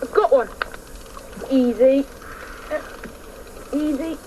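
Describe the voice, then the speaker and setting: A woman's voice: high-pitched, slow, background noise. A woman acting as a bold, scrappy young boy in a radio play, with an assertive, dramatic delivery. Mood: absorbed, confident.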